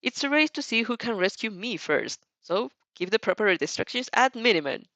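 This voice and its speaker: A woman's voice: fairly high pitch, animated, moderate pace, pushed and bright, no echo, voice pushed up. A woman voicing a cheerful, hearty male hero teacher who talks in announcements for an audio story. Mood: cheerful announcing.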